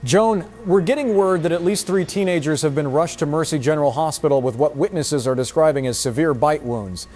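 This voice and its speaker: Male actor as composed TV news anchor, slightly high register, formal broadcast read. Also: a little mellow, soft background music, close to an everyday voice. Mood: serious, urgent. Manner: measured, formal news delivery.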